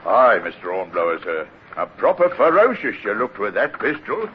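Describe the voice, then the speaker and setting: An actor playing a wry, respectful sailor in a radio drama, drily teasing. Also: male voice, fairly low pitch, big pitch swings, normal speed. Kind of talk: old-time radio drama acting. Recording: dull old radio recording, faint noise.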